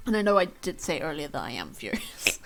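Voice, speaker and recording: A woman's voice: low-pitched, sing-song, fairly quick, gravelly, fairly bright tone, a little hiss or hum. A young woman streaming from home, chatting casually and rambling in a self-deprecating way. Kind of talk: casual chat to stream viewers. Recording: faint music behind.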